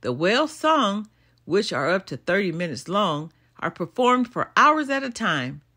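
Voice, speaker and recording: An older woman reading a children's nonfiction book aloud as a storytime host, in an expressive, deliberate way. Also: medium pitch, breathy edge. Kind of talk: storybook read-aloud narration.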